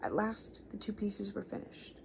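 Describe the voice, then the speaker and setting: Soft-spoken, creaky young woman doing ASMR. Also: medium pitch, little pitch movement, moderate pace, slight background noise. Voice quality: soft, creaky, often dropping to a whisper. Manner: rambling, informal, gently chaotic asides. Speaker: ASMR creator reading children's books aloud. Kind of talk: soft-spoken ASMR reading and casual chat. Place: small living room, indoors.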